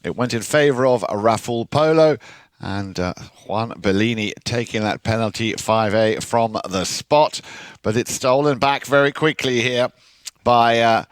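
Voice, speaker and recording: A man calls a polo match in a steady, measured way, pausing on fillers as the play unfolds. His voice sits at an ordinary pitch. The sound is close and dry. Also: smooth, no echo. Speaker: polo commentator. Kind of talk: sports play-by-play commentary.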